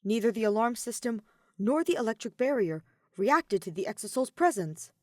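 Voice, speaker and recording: A woman's voice: a little high, big pitch swings, normal speed, a little mellow, no echo, studio quality. A woman voicing a polite, earnest male robot in a story, with formal, precise wording. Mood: earnest, matter-of-fact.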